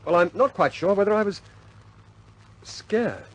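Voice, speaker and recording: An earnest young bomber skipper in a 1950s British war film, troubled by self-doubt. The voice is fairly high for a man, husky and very mobile in pitch. He speaks haltingly and with understatement. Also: lively intonation, rough recording. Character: conscientious young male RAF squadron leader, self-doubting, earnest.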